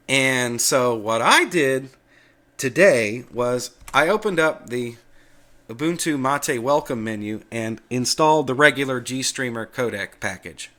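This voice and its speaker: Male tutorial host, medium pitch, folksy and plain-spoken, casual unscripted explaining. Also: bright, forceful tone. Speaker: host of a Linux tutorial video. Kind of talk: casual screencast talk, explaining off the cuff.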